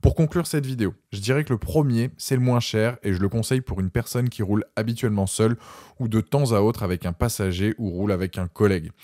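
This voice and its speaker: A man's voice: lowish voice, fast talker, dark tone, no room sound, clean studio sound. A man presenting a scripted product review voice-over, informally addressing viewers directly.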